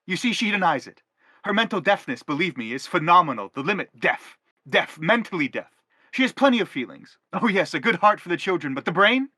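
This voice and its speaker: A man's voice: high-pitched, very animated, fairly quick, pushed and bright, no room sound, exaggerated voice. An actor playing an anguished middle-aged father in a stage drama, pompous, wordy and insistent as he justifies himself. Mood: indignant, exasperated. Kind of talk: theatrical stage drama acting.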